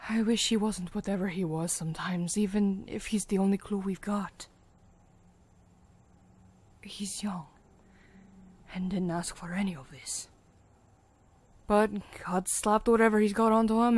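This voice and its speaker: A woman's voice: mid-range voice, steady tone, unhurried, pauses often, darkish tone, lightly acted. A woman playing a thoughtful, wry veteran comrade in a conversational audio drama, frank and reflective. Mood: somber.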